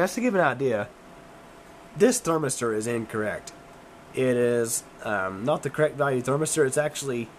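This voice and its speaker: A hoarse man getting over a cold, talking casually about his computer in a home room warmed by a wood stove. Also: mid-range voice, slight background noise. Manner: rambling, many fillers and restarts, chuckling. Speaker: computer hobbyist vlogger. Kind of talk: casual tech vlog.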